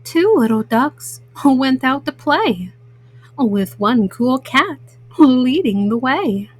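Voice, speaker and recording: A woman storyteller reading a children's picture book at bedtime, slowly and simply, as a mama to young listeners. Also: high voice, sing-song. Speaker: storyteller host.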